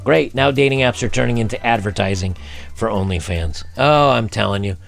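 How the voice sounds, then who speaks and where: Gravelly-voiced man talking casually to viewers. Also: on the low side, a little fast, fairly bright tone, soft background music. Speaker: host giving dating commentary and advice to men.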